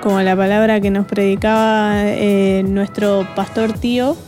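A young woman with an Argentine accent co-hosts a podcast in casual, conversational style. Music plays clearly behind her voice. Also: medium pitch, flat delivery, unhurried, darkish tone. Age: 20s. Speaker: podcast co-host. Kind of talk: casual chat.

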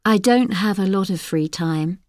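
Woman reading english drill lines nice and slow, dead dry studio sound. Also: mid-range voice, a little mellow, no room sound. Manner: carefully enunciated drill reading. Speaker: course audio speaker. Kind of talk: slow, clear example sentences for learners. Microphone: dry studio mic.

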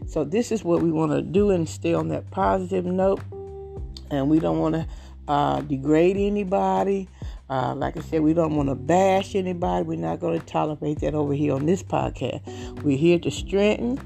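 A woman's voice: sits low, moderate pace, vocal fry, faint music behind. A woman in her late fifties with a Southern US accent, hosting a podcast and talking about herself in an earnest, rambling way. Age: 50s. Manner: earnest, rambling, warm-hearted testimony. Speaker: podcast host. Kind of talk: casual talk.

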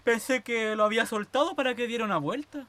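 A woman's voice: fairly high pitch, quick pace, background noise, close to an everyday voice. An actress playing a curt, guarded woman of the household in a radio drama. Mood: guarded, deferential. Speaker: actor in a radio play.

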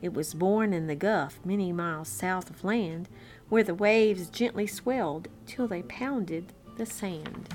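A woman reading a children's story aloud in an expressive, unhurried, amused manner, with chatty asides. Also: medium pitch. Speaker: children's story-time reader. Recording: classical violin music bed. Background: classical violin concerto background track.

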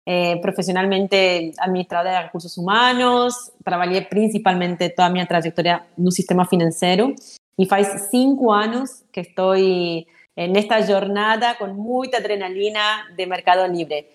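A middle-aged woman from Argentina with an Argentine Spanish accent, a native Spanish speaker, answering as a podcast guest and pausing thoughtfully with fillers. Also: mid-range voice. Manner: thoughtful, hesitant with frequent fillers. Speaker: guest, HR executive. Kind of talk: podcast interview.

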